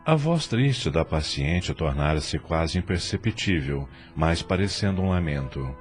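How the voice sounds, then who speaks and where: A man's voice: rumbling low, expressive, unhurried. A man narrating an audio novel in a dramatic, scripted storytelling style. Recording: music bed behind narration.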